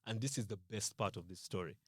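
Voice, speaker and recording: A man with a Ugandan accent telling an anecdote casually as a podcast guest, acting out what people said in an animated way. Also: lowish voice, quick pace, husky, no room sound, studio quality.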